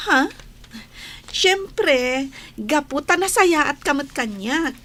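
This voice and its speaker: Casting: actress as friendly, sweet young farm woman, Ilocano accent, high voice, radio drama. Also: lively intonation, slow pace, a bit bright, heavily acted. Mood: cheerful, grateful. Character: sweet, grateful young village woman picking beans.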